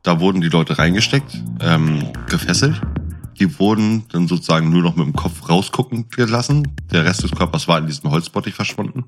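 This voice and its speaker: A man in a casual conversation, explaining things in a colloquial, animated storytelling way as a podcast co-host. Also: low voice, a little fast. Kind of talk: casual chat, explaining and quizzing. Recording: music behind the voice. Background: music.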